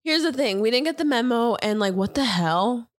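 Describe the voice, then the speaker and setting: A young woman co-hosting a casual podcast with her partner, animated and quick to laugh, whose first language was Spanish. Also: on the high side, no room sound, clean studio sound. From United States. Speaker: podcast co-host. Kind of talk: casual couple chat.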